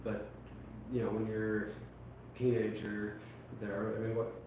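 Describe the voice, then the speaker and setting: A man's voice: lowish voice, monotone, slow. A man hosting an interview, asking rambling, hesitant questions full of fillers. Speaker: podcast host. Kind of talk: casual interview. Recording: distant echoey mic with background noise.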